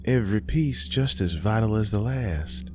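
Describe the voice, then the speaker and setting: A man voicing a sly spirit in a mother's shape for a horror story, sweetly taunting in a drawn-out folksy way. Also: on the low side, lively intonation, a little mellow, soft background music, pitched above the performer's own voice, close to an everyday voice. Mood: eerie coaxing. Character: sly menacing female spirit wearing a mother's face.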